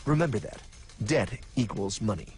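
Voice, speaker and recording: A pompous cartoon narrator, played by a man in a fairly low voice. He lectures theatrically like a mock mystery anthology host, with animated inflection. Music runs beneath him. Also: normal speed, lightly acted. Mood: knowing. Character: pompous, know-it-all cartoon narrator and guide, grown man.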